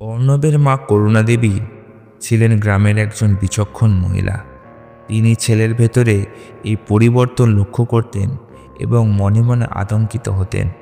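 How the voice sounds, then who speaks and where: A man's voice: lowish voice, steady tone, normal speed, vocal fry, warm tone. A man narrates a scripted horror story. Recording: long reverb with music underneath. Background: music.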